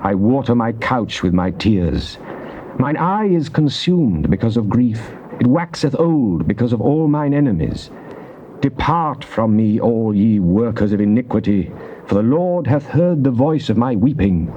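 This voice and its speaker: An actor playing a devout psalmist in a dramatized scripture reading, with a British accent and solemn, declamatory, measured phrasing. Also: male voice, medium pitch, sing-song, mellow. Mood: anguished pleading. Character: devout psalmist pleading and praising God. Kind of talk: dramatized scripture reading, classical stage delivery. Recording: dull, noisy old cassette tape.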